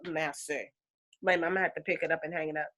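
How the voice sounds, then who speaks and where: A woman teaching an energy healing class on a video call, chatty and animated, with lots of fillers. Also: deep voice, very fast, soft background music.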